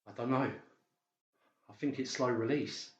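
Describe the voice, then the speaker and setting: A man's voice: mid-range voice, steady tone, unhurried, a little hiss or hum, close to an everyday voice. A man playing a desperate psychiatric patient plotting escape, urgent and insistent, in a dramatised audiobook. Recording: roomy, slightly dull sound.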